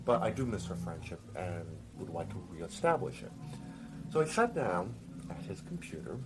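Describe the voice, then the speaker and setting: A man telling a story in an informal, conversational way, halting and pausing mid-sentence. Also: sits low, poor audio. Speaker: storyteller reading an original story. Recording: music playing behind the voice. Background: music.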